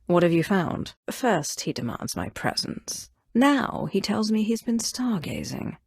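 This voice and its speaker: A woman's voice: mid-range voice, sing-song, vocal fry, husky, no echo, clean studio sound, heavily acted. A woman voicing a haughty, ruthless Sith sorceress lord in a video game, with imperious, coldly menacing delivery. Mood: stern, questioning. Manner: imperious, cold, menacing, deliberate diction. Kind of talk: dramatic video game voice acting.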